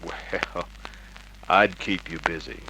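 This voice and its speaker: An actor playing a cocky, wisecracking private detective with a brisk, slangy, hard-boiled delivery in an old radio drama. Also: male voice, low voice, fairly even intonation. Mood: flirtatious. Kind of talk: 1950s radio detective acting and narration. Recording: old radio recording, faint noise.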